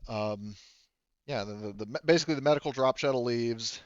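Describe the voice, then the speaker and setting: A man's voice: medium pitch, fairly quick, clear, resonant tone, dry recording, muffled recording. A man running a tabletop game as game master, talking casually and rambling as he searches for words.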